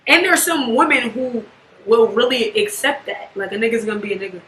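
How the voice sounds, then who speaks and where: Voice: medium pitch, pushed and bright. A podcast host in their twenties who leads the discussion and keeps asking the others questions in a casual chat. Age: 20s. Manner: fast-talking, leads the questions. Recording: roomy echo with faint background hiss.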